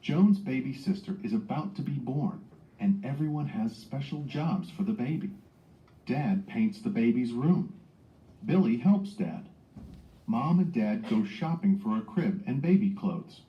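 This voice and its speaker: A man's voice: medium pitch, animated, unhurried. A man reading a scripted children's story aloud as a narrator. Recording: dull lo-fi playback in echoey room.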